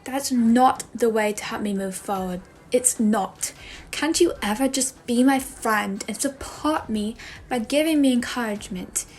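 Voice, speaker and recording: Woman acting a hurt, reproachful daughter, high voice. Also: unhurried, a little mellow, lightly acted. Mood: hurt, frustrated. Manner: pleading, reproachful, emphatic. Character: frustrated, hurt grown daughter confronting her mother. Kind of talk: dramatic monologue for a voice acting demo reel.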